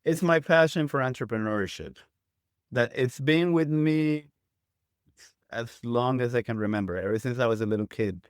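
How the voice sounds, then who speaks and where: A man from Colombia speaks as a guest on a business interview. His voice is mid-pitched and his answers are conversational. The recording is close and dry. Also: moderate pace, clean tone, dry recording. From Medellin, Colombia. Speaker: interview guest, CPA and entrepreneur.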